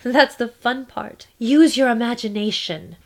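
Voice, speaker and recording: A woman playing a confident, commanding superheroine in a radio play, brisk and assertive with technical talk. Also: a little high, expressive. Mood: urgent.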